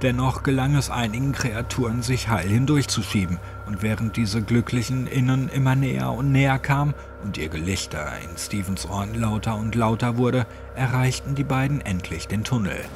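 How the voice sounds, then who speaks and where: A man's voice: mid-range voice, normal speed, few pauses, noisy background. A man narrating a scripted horror story as an audiobook. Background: music.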